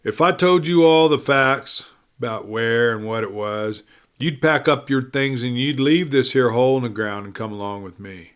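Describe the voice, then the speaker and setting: A man's voice: mid-range voice, normal speed, a bit bright, smooth, lightly acted. A man voicing a direct, persuasive prospector in his prime in a western audiobook, with rustic speech. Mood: smooth. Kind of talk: western audiobook character acting.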